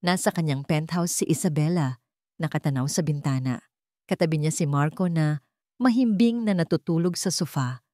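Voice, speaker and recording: Filipino woman narrating drama, lowish dry voice. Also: moderate pace, dark tone, dry recording. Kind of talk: dramatic scripted story narration.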